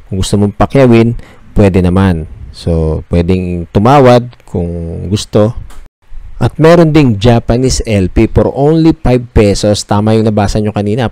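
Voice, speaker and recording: A Filipino man narrating a casual shop tour as a vlogger, talking conversationally and pitching items like a salesman. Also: warm tone, no room sound.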